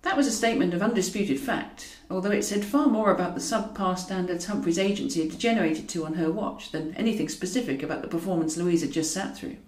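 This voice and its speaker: A woman reading comic fiction aloud as a scripted audiobook, in a wry and expressive manner. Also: on the low side, quick pace, hardly any pauses. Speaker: author reading own novel. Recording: roomy echo, distant from mic.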